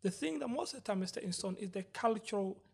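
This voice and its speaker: A man with a notably high-pitched, husky voice talks in a casual group discussion. He is earnest, often repeating his phrases and putting rhetorical questions to his listeners. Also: expressive, quick pace. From Britain. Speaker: panel discussion participant.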